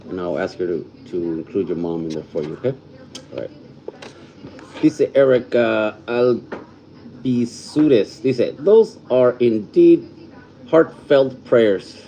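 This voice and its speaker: A man in his fifties from California hosting a casual livestream from home, reading out viewer comments and names, thanking people warmly and slipping in Spanish phrases. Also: medium pitch, animated, poor audio. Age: 50s. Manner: warm, thankful, reads out viewer names slowly; mixes Spanish phrases into English. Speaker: livestream host.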